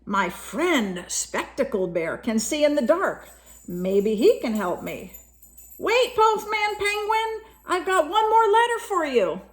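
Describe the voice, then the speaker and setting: A sweet and lonely polar bear from a picture book, voiced by a woman reader. He speaks in a high, earnest voice. His phrasing is simple and expressive, with childlike wonder. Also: takes it slowly, full character voice. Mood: wishful.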